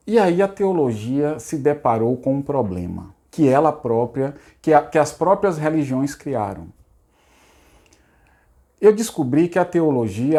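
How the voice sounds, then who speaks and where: Hoarse middle-aged man. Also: medium pitch, dark tone. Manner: repetitive, rhetorical, casual asides. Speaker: psychologist hosting a channel. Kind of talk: unscripted spiritual self-help talk.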